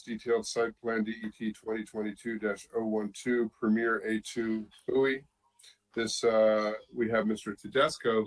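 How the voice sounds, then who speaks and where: A man's voice: medium pitch, poor audio. A man presiding as chair over a public board meeting, moving through procedure in an orderly but informal way.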